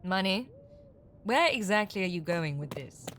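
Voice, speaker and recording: An actress in an audio drama playing a shrewd, defiant captive con artist, sardonic and evasive. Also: female voice, medium pitch, lively intonation, moderate pace, fairly bright tone, a little hiss or hum, lightly acted. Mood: scornful, defiant.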